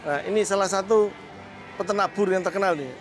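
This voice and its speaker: A middle-aged man with a fairly high voice. He chats in a relaxed, matter-of-fact way while showing visitors around his open-air goat barns, recorded on a lapel mic with clear music playing underneath. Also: a little fast. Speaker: goat farm owner giving a tour. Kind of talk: casual explanatory tour talk. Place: outdoor open-sided livestock barns. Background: background music.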